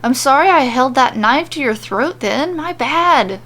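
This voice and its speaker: A woman's voice: high voice, expressive, measured pace, a bit bright, voice pushed up, full character voice. A woman voicing a nervous, frustrated man, a bumbling kidnapper in an audio story, hesitant and slangy. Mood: cowed, apologetic.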